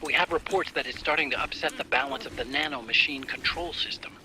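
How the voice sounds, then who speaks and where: An actor playing a support operator giving a soldier a brisk, informative mission briefing. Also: male voice, medium pitch, sharp tone, a little hiss or hum. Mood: urgent.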